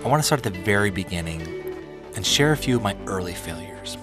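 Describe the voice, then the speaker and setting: A man in his thirties hosting a podcast, telling his personal story in an earnest, measured, partly scripted monologue with deliberate pauses. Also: deep voice, lively intonation, music in the background. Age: 30s. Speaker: podcast host.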